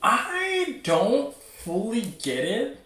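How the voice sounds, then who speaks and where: A man hosting a show with casual, unscripted commentary, rambling as he thinks out loud. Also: extremely high pitch, wildly swooping pitch, very slow. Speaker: host of a webcomic news show. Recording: roomy, echoing sound.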